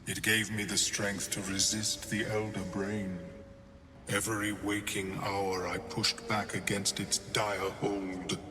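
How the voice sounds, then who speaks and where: Slow, low-voiced, scholarly mind flayer. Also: male voice, level tone. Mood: solemn, measured. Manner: measured, formal, precise. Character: scholarly, courteous mind flayer wizard, calm and detached. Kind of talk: video game character acting. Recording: long reverb, music behind.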